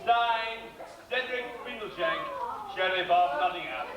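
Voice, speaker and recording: A man's voice: sits high, unhurried, muffled recording, a crowd in the background. A man playing a pompous villainous sheriff, declaiming in a stage pantomime. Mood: pompous, commanding. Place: live theatre stage. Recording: very echoey hall, distant, noisy camcorder.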